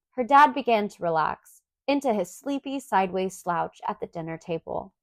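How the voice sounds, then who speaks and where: A woman narrates a picture book for young children with a fairly high voice that swings widely in pitch. Her delivery is expressive and clearly enunciated, and the sound is dry and close. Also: dry recording. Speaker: storybook reader.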